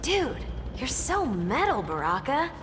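A woman's voice: sits high, very animated, a little slow, over loud music. A woman playing a breezy, sarcastic young fighter in a fighting game, talking in a slangy, flippant way. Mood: flippant, teasing.

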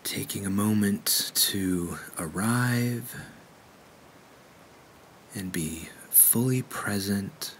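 A man leading a guided meditation in a gentle, unhurried way with measured pauses. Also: on the low side, slight background noise.

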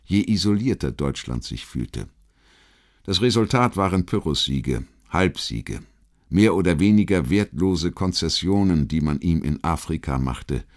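A man with a low-pitched voice narrates a scripted history documentary as an audiobook. His delivery is measured and literary, placing clear emphasis on key phrases.

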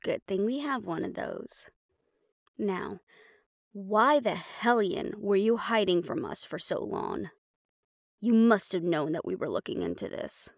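A woman's voice: mid-range voice, sing-song, unhurried, creaky, no echo, clean studio sound, heavily acted. A woman playing a tough, threatening young supernatural woman in an audio roleplay, blunt and sardonic. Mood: curt, probing.

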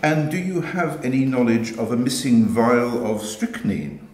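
A man's voice: medium pitch, expressive, unhurried, a little mellow. A man reading his own story aloud as dramatic dialogue, in a live setting. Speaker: author reading own stories. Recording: roomy, echoey live reading.

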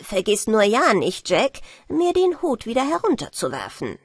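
A genial old merman , voiced by a woman reading aloud, offers drinks and explanations in a coaxing, matter-of-fact tone. His delivery rises and falls in lively swoops. The recording is dry and close. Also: fairly high pitch, moderate pace, slightly rough, dry recording, exaggerated voice. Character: old male merman, genial, hospitable host. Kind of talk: storybook character voice.

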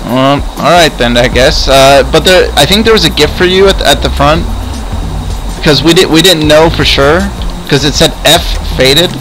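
A man's voice: on the high side, moderate pace, background noise. A man improvising in-game roleplay chat in a hesitant, stammering way, often repeating phrases. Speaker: host of a gaming roleplay series. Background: background music.

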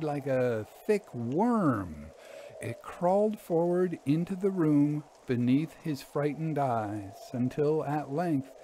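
A man narrating scary stories in a suspenseful, deliberate, theatrical manner. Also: a little high, a little mellow, a little hiss or hum. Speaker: narrator, actor and author. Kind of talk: dramatic scripted ghost story narration.

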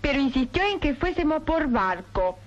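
An actress playing a sharp-tongued young woman with a Rioplatense accent, in a 1960s film. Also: high voice, sing-song, moderate pace, muffled recording, slight background noise. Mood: tart. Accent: Rioplatense Spanish. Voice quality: tart, complaining.

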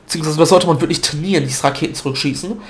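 A man's voice: a little high, racing. A man with a stuffy nose from a cold, chatting loosely through gameplay commentary with fillers and self-corrections, recorded at a desk mic at home. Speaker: gaming video commentator.

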